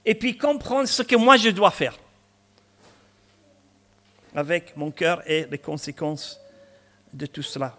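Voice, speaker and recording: A man's voice: a little high, very animated, takes it slowly, long pauses, fairly bright tone. A man in his sixties with a Scottish accent, preaching a chatty sermon sprinkled with asides and humor. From Scotland. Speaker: pastor.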